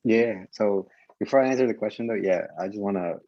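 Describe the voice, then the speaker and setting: Halting young man, low voice. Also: dry recording, muffled recording. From Mexico. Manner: halting, laughing, filler-heavy. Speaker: podcast co-host. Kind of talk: casual chat.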